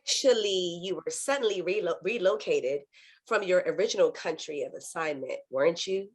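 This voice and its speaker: A woman's voice: on the low side, moderate pace, breathy edge. A woman playing a skeptical news reporter in a script read, asking pointed questions. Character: persistent, skeptical news reporter confronting a politician. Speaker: actor in a virtual table read. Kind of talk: screenplay table-read acting.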